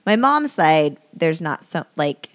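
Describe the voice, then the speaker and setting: A woman's voice: low voice, big pitch swings, slow. A woman talking casually as a podcast guest, rambling with many fillers and restarts, and joking at her own expense. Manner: rambling, self-deprecating, lots of fillers and restarts. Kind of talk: casual chat.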